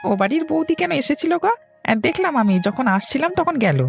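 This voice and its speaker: A woman puts on a jolly, doting village husband for a cartoon story. The voice is creaky and swings widely in pitch. He chats and coaxes eagerly, with faint music underneath. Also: expressive, fast talker. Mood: eager, chatty. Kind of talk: animated cartoon story dialogue.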